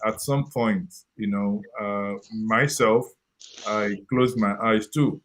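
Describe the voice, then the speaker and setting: A man's voice: fairly low pitch, takes it slowly, a bit bright, slight background noise. A man in an online discussion who cuts in firmly to correct another speaker and insists on being heard. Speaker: forum participant.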